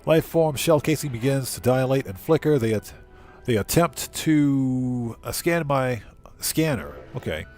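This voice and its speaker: A man's voice: medium pitch, lively intonation, a little slow, a little mellow, soft background music. A man hosting a livestream, chatting informally and thinking aloud as he plays a game and reads aloud from the screen. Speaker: live streamer and channel host. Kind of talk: casual livestream game commentary, reading chat and on-screen text aloud.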